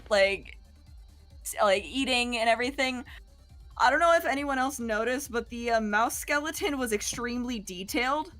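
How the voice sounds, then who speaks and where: A woman's voice: on the high side, a bit bright. A woman chatting casually as a cast member on a behind-the-scenes livestream. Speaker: fandub voice performer and writer on a livestream. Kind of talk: casual chat.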